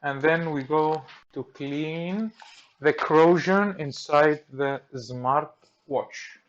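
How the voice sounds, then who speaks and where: A man with a Greek accent, speaking English as a second language, walks through a repair and thinks aloud in halting, simple sentences. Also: a little high, muffled recording. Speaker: repair technician. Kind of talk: hands-on repair tutorial. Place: workbench.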